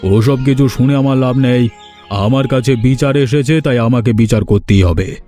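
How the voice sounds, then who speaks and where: A dark-toned, mellow village patron acted by a man in a cartoon fairy tale. He speaks firmly and with authority, with faint music behind him. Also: medium pitch, moderate pace, lightly acted. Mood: stern, accusing. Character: authoritative village gentleman, generous patron and stern judge.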